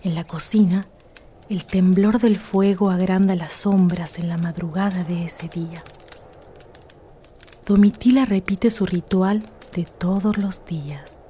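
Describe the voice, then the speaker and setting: A woman narrating a radio drama in a measured, literary, scripted manner. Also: on the low side, fairly even intonation, warm tone, slight background noise, close to an everyday voice. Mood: scene-setting.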